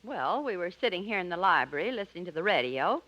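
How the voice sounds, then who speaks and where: A woman's voice: lowish voice, sing-song, fast, fairly bright tone. A woman playing a matter-of-fact, declamatory wealthy widow in a 1950s radio comedy sketch. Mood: brisk, unruffled. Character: brisk, unruffled wealthy widow in comic murder sketch. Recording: muffled old radio recording.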